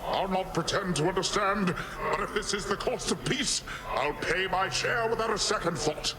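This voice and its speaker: A man's voice: sits high, moderate pace, background noise, close to an everyday voice. A man playing a jovial, boastful sea god uncle in a video game, with grandiose, playful and theatrical delivery that is goofy yet haughty. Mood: jovial, musing. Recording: loud game music behind the voice.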